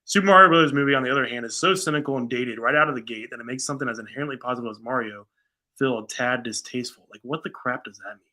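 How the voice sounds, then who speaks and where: This is an American man co-hosting a casual talk show. His voice is strikingly bright and forceful, at a middling pitch. He moves between reading aloud and loose, slangy commentary. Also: rapid-fire, rough recording. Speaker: show co-host. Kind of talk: casual chat reading out and reacting to reviews.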